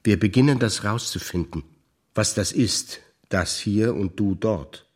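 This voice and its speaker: An older man performing scripted stage dialogue, measured and reflective, often sinking to hushed low phrases. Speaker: stage actor.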